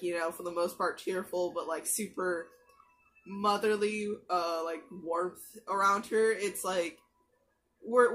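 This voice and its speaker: A woman's voice: medium pitch, fairly bright tone. Young woman, casual rambling talk, lots of fillers, headset mic, small room. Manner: chatty, many fillers and restarts, quick asides. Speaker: anime reaction youtuber. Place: small bedroom with posters. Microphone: gaming headset mic.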